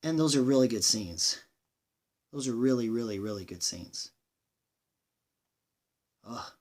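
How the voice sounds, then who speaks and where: A man giving a casual movie review monologue, rambling and halting, with enthusiastic delivery and plenty of swearing. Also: mid-range voice, expressive, darkish tone. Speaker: film reviewer, channel host.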